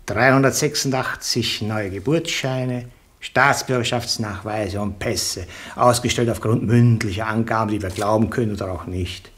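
An actor playing a dry, coldly calculating ministry official in a radio play, deliberate and matter-of-fact, with an Austrian accent. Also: male voice, lowish voice, a bit bright, natural voice. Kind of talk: German-language radio play dialogue.

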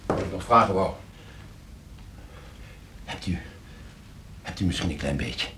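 A hired killer in a radio drama. His voice is low-pitched. He is terse and uneasy, hesitating as he speaks. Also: animated, a little slow, bright, forceful tone, slight background noise, heavily acted. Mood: nervous, pleading. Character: edgy hired killer taking instructions. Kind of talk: radio play acting.

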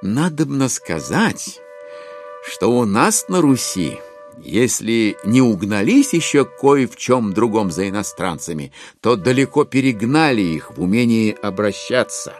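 A man's voice: fairly low pitch, big pitch swings, unhurried, soft background music. A man acting as the wry, knowing storyteller of a classic satire, narrating with theatrical, ironic phrasing. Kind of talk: scripted dramatic narration.

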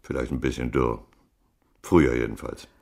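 A man playing a sardonic, knowing informant in a radio crime drama, with a wry, cryptic, unhurried way of talking. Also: extremely low pitch, flat delivery, vocal fry, a little mellow. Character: sardonic, knowing male informant with a shady past.

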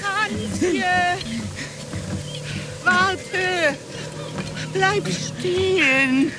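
A woman's voice: very high voice, very slow, over loud music, exaggerated voice. An actress playing a distressed woman who calls out urgently in a radio drama. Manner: urgent, calling out. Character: distressed woman calling out bad news. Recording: noisy outdoor ambience.

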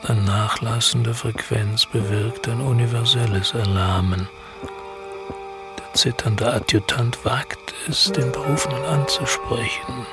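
A man plays the solemn narrator of a radio drama. He reads formal literary prose very slowly and with measured weight, in a medium-pitched voice. Prominent music fills the background. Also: natural voice. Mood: ominous. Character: solemn, omniscient male narrator of palace intrigue.

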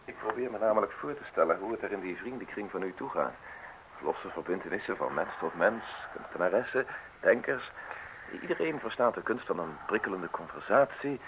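An actor in a radio play voicing a modest, wordy public prosecutor who speaks with formal, excessive politeness and sly insinuation. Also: male voice, on the low side, lively intonation, brisk. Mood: prying, insinuating. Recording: hissy old radio play recording.

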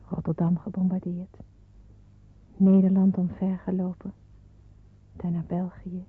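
A woman's voice: fairly low pitch, husky, heavily acted. A woman playing a young refugee girl who narrates her wartime youth in a radio drama. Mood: sombre. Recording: noisy, narrow-band old radio recording.

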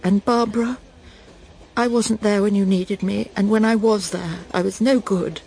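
A woman's voice: medium pitch, fairly even intonation, normal speed, mellow, a little hiss or hum, close to an everyday voice. An actress playing a proper middle-aged Englishwoman in a radio drama, with an English accent. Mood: relieved, contrite. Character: smug middle-aged Englishwoman, lost and breaking down.

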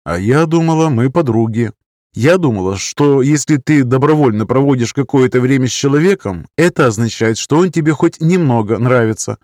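A man's voice: mid-range voice, expressive, normal speed, darkish tone, dry recording, pitched above the performer's own voice, close to an everyday voice. A man voicing a wry, guarded woman in an audiobook, who speaks in terse, dry, probing lines. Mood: hurt. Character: wry, guarded woman narrator confronting her friend. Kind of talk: audiobook character dialogue.

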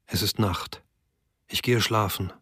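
Male diary reader, quiet and measured, dry booth. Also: lowish voice, fairly even intonation, breathy edge, dry recording. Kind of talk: scripted radio reading.

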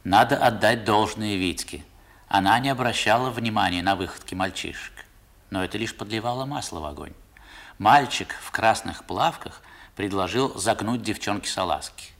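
A low-pitched man recalls his boyhood as narrator of a 1960s radio play. His delivery is measured and literary. The tone stays reflective. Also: fairly bright tone.

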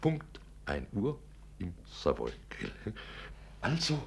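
Radio play swindler: low, creaky, flustered gallantry, stammering and effusive. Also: male voice, huge pitch swings, slow pace, slight background noise, heavily acted. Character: flustered, courtly male confidence trickster posing as a gentleman. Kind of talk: 1950s German radio play acting.

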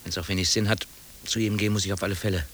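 A harried clerk in a radio drama, lowish and quick as he grimly thinks aloud. Faint hiss runs under the old recording. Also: fairly even intonation, a bit bright. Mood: grimly resigned. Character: harried, let-down male office employee, grimly resigned. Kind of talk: German radio play acting.

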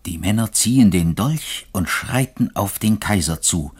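A man's voice: lowish voice, animated, measured pace, slightly rough. A man reading scripted narration for a radio play.

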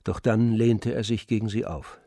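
A man narrating a literary radio drama in a measured, grave manner. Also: fairly low pitch, steady tone, mellow, no echo.

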